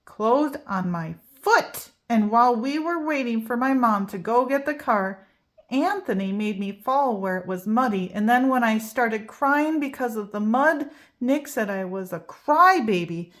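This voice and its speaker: A woman's voice: a little high, lively intonation, a little slow. A woman reading a children's picture book aloud expressively, drawing out key words for emphasis. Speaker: storybook reader.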